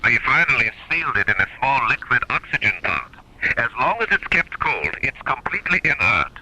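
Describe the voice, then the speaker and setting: An actor playing an earnest, uneasy scientist on a moon base in a radio drama, speaking crisply and seriously. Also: male voice, medium pitch, sing-song, fairly quick, sharp tone, full character voice, low-quality recording.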